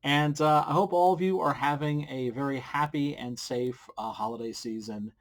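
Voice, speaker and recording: A man's voice: mid-range voice, moderate pace. A middle-aged man hosting a casual how-to vlog, talking in a blunt, informal way. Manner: blunt, informal, mildly profane. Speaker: comic book creator and video series host. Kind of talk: casual instructional vlog to camera. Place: small wood-panelled room at home, indoors. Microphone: large studio mic on boom arm with pop filter.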